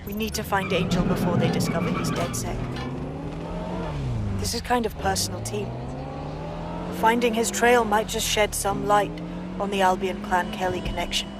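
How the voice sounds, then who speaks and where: An actress playing a shrewd, committed resistance team leader in a game, giving a wry, controlled mission briefing. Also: female voice, medium pitch, fairly even intonation, moderate pace, long pauses, lightly acted. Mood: concerned, resolute. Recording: loud music behind the voice.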